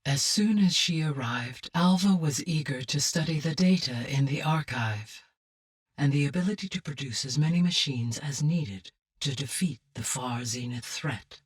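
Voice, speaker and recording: A calm, caring female artificial intelligence from a video game. Her low voice is husky and sounds slightly distant. She speaks in formal, precise sentences with measured composure. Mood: composed, measured. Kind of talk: measured, scripted game dialogue.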